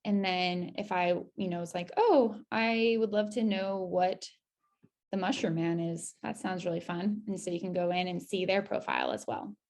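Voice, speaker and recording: Creaky-voiced woman giving a casual demo. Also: on the low side, steady tone, moderate pace, a little mellow. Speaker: presenter giving a website demo. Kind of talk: casual screen-share walkthrough.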